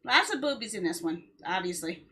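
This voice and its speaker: A woman's voice: lowish voice, animated, a little fast, bright, forceful tone, husky. A woman game streamer chatting casually on a livestream in a playful, rambling way.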